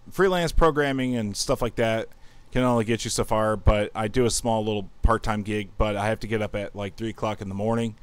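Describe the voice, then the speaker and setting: A man's voice: on the low side, smooth, no echo. Rambling thirty-something male streamer. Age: 30s. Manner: rambling, self-mocking, talks while playing. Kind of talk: casual gaming livestream chat.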